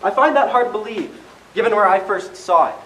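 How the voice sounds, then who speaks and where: A man's voice: sits high, expressive, brisk, sharp tone. A young man playing an accusatory, theatrical character in an amateur one-act stage play. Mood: smug, probing. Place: indoor stage, recorded from a distance. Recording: echoey stage, far mic, faint hiss.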